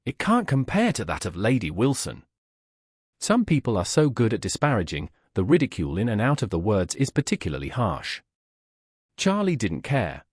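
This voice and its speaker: Husky male narrator with lively intonation. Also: on the low side, dry recording, clean studio sound. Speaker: audiobook narrator. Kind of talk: scripted story narration.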